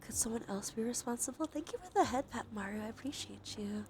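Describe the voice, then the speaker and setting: A woman with a stuffy nose doing a cozy, gentle ASMR stream, chatting casually in a soft, breathy voice that often drops into whispers. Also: a little high, quick pace, a little hiss or hum. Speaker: livestreamer hosting an ASMR stream. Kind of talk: cozy ASMR, casual chat while gaming. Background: soft ambient soundscape.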